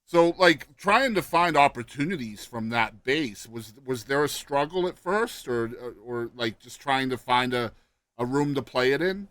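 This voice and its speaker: A man's voice: medium pitch, expressive, moderate pace, a bit bright, no room sound. Male podcast host, upbeat and chatty, filler-heavy, dry close mic. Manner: enthusiastic, casual, heavy on "like" and "you know". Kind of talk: podcast interview.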